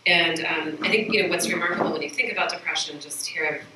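A woman's voice: deep voice, quick pace, background noise. A woman in her forties giving an academic presentation at a podium microphone, earnest and gesturing, with many fillers. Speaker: researcher panelist. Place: meeting room, indoors. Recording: echoey room, voice from a distance.

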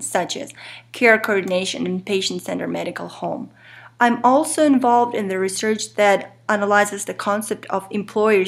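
A woman in her thirties from Ukraine with a strong Eastern European accent, whose first language is Ukrainian. She gives an earnest, measured introduction to her course, addressing her students directly. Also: mid-range voice. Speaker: instructor.

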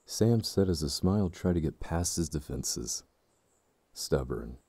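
A man narrating an audiobook in a slow, measured, somber way. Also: low voice, expressive, vocal fry, a little mellow, husky, no echo.

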